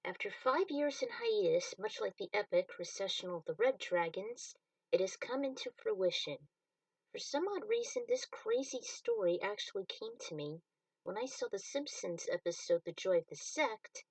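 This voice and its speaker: Woman narrator for self-written fan fiction, mid-pitched, recorded some way from the microphone. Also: expressive, moderate pace. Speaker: author reading their own fan fiction. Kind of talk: story narration read aloud. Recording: voice distant from the mic.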